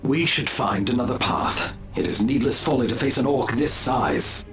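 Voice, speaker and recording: A man's voice: mid-range voice, lively intonation, moderate pace. A man voicing a stern, scornful alien elf ranger in a video game, speaking formally and with superiority. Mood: wary. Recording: loud music behind.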